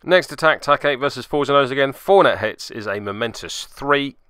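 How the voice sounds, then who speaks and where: Bright, crisp-voiced man narrating a tabletop game. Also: mid-range voice, normal speed, no room sound, studio quality. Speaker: podcast host and player.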